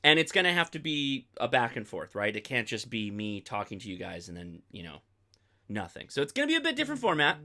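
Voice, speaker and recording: A male American livestream host with a bright, crisp-edged tone at an ordinary pitch. He talks informally and chattily, drifting from one aside to the next as he addresses his viewers. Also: wildly swooping pitch, fast. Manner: rambling, digressive, chatty. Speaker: host of a ham radio live stream. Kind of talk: casual livestream chat.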